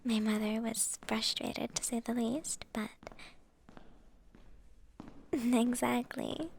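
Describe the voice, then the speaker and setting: A woman playing a shy, playful young vampire with a crush in a whispered, breathy, hesitant ASMR girlfriend roleplay. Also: fairly high pitch, level tone, slow, slight background noise. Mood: fond, teasing.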